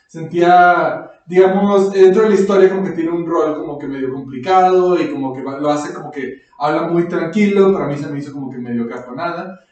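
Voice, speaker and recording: A man's voice: fairly high pitch, fairly quick, a little mellow, muffled recording. Rambling Mexican man in a very echoey room. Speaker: podcast host. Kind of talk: casual podcast chat. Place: echoey indoor room, voices off the mic. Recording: very echoey room, distant mic.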